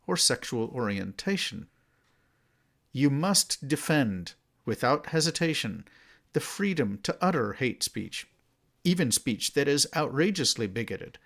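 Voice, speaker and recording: A man's voice: mid-range voice, fairly bright tone. Emphatic man narrating, widely swinging intonation. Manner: emphatic, declarative, deliberate phrasing. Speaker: author reading own essay. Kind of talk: scripted narration of an essay.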